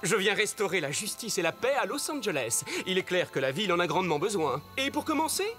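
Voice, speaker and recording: A man's voice: high voice, big pitch swings, fast, sharp tone, soft background music, full character voice. A man voicing a dashing, confident masked cartoon hero, suave, gallant and teasing. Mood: suave, playful. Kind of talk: French animated series acting.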